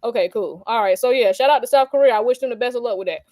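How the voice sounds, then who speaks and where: A woman's voice: fairly high pitch, very fast, warm tone, no echo. A woman with a Southern US accent co-hosting a casual podcast, chatting in a slangy way and thinking aloud. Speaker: co-host.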